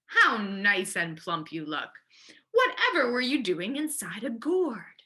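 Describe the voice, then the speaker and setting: Woman acting male fox, storybook animal, sly, mock-polite, greedy, animated delivery. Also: mid-range voice, takes it slowly, full character voice. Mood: gloating.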